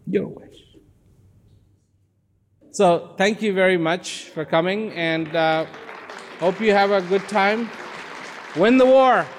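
A man's voice: high-pitched, slow pace, long pauses, some chatter behind, slight background noise. A man giving a reflective talk to an audience, repeating phrases for emphasis and dropping at times to a near whisper. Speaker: speaker.

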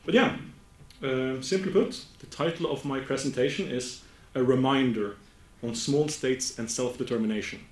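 Norwegian-accented man lecturing in an echoey room. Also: mid-range voice. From Norway. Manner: slow, careful delivery with frequent self-corrections. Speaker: academic speaker. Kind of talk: conference presentation.

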